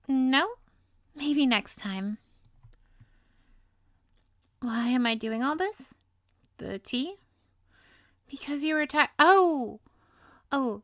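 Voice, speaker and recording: Woman acting a high-voiced, sweet, lovestruck yandere witch. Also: a bit bright, no room sound, studio quality. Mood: doting, coaxing, then lovestruck, earnest. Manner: cutesy, gushing, flustered stammers, playful asides. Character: sweet, clingy, obsessively lovestruck young female witch. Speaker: audio roleplay voice actor. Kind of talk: intimate comedic roleplay acting.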